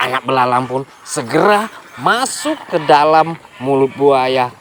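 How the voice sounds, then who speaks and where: A man telling a folk tale to children in a lively way, speaking to young listeners directly. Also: mid-range voice, measured pace, slight background noise. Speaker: storyteller.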